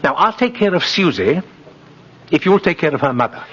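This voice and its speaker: Kindly old gentleman, very animated swooping voice, twinkling manner, vintage radio drama, dull recording. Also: on the high side, a little fast, husky, heavily acted. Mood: gently coaxing. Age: older. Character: kindly old gentleman who believes himself Santa. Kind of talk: 1950s radio drama acting.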